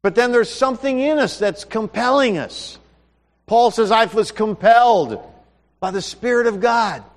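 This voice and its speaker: A man with a high voice preaches a sermon, talking to his listeners like a neighbor and asking them one question after another. His voice carries through a hall with a long reverb. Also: a little slow. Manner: conversational preaching with rhetorical questions.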